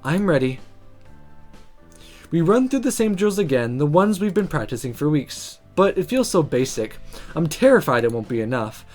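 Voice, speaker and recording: A woman hosting a gaming video, reading a visual novel aloud with expressive narration and casual commentary. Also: low-pitched, moderate pace. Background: faint music.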